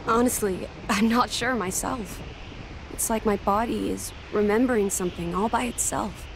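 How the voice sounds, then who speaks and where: An actress playing an earnest, gentle young heroine in a video game cutscene. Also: female voice, mid-range voice, natural voice. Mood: earnest, puzzled. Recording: clear rushing ambient noise behind.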